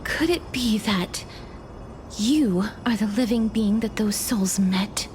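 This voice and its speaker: A woman voicing a gentle, solemn young guide of souls in a video game, with soft, formal, measured speech. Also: mid-range voice, slight background noise, lightly acted. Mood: questioning. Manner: soft, measured, formal, breathy phrase endings. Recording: background music under the voice.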